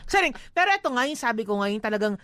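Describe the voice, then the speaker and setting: A woman's voice: a little high, sing-song, racing, a bit bright, no room sound. Playful Filipino woman bantering, dry studio sound. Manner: playful, teasing. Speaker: radio co-host. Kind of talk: casual, joking radio banter.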